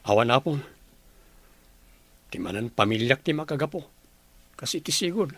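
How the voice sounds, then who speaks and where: A troubled young man in an Ilocano Filipino radio drama, played by a male actor. His mid-range voice is husky, and he speaks earnestly, like someone burdened by relationship woes. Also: medium pitch, lively intonation, moderate pace, fairly bright tone, dry recording. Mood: dejected.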